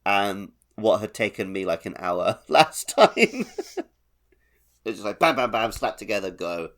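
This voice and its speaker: A man with a fairly low voice talks as a podcast co-host. He keeps it conversational and informal, in the style of a chat about video games. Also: big pitch swings, takes it slowly, fairly bright tone.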